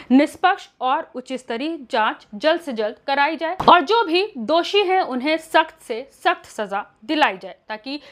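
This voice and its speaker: A woman news presenter reads a scripted report. Her voice is clearly high in pitch, and she delivers the narration as a reporter. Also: a bit bright.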